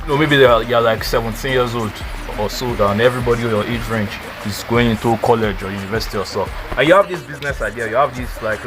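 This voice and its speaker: A twenty-year-old man giving casual life advice in a rambling, slangy way, full of "like" and "you know". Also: mid-range voice. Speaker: vlogger.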